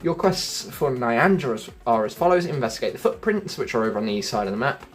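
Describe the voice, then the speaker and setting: A man's voice: mid-range voice, very animated, quick pace, faint music behind. A man hosting a gaming channel, talking viewers through a gameplay walkthrough in a chatty way and calling them folks and guys.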